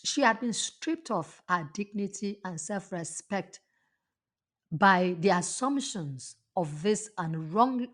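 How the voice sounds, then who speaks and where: A woman hosting a motivational talk, telling a personal story and reflecting on it earnestly, often restarting her words. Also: mid-range voice. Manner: earnest, with frequent restarts and repetitions. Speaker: motivational podcast host.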